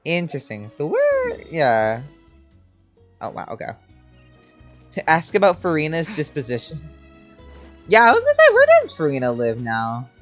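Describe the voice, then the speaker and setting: Female gamer, very deep voice, lively casual commentary, muses aloud, music behind. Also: moderate pace, pauses often. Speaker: gamer reacting while playing.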